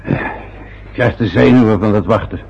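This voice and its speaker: An actor playing an irritable sixty-year-old husband in a radio play, answering curtly. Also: male voice, fairly low pitch, unhurried, gravelly, a little mellow, slightly rough, exaggerated voice. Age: 60s. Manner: curt, irritable. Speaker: father. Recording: dull lo-fi old radio recording.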